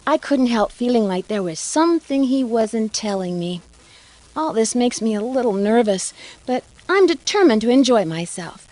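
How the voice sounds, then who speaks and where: A woman voicing a plucky, curious young detective in a video game, with a clear, earnest storytelling delivery. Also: a little high, darkish tone, no room sound, poor audio. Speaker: game protagonist. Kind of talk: letter narration and game dialogue acting.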